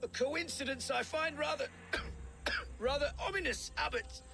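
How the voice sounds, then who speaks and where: An actor playing a pompous poisoned lord, coughing and gasping out halting words. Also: male voice, extremely high pitch, expressive, creaky, sharp tone, exaggerated voice. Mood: choking, alarmed. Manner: halting, choked delivery. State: coughing, short of breath.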